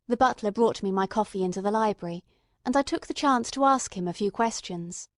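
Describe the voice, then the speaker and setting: A woman narrating a dramatized mystery story in a measured, literary storytelling manner. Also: mid-range voice, no echo, studio quality. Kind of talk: dramatized audiobook narration, reading dialogue in character.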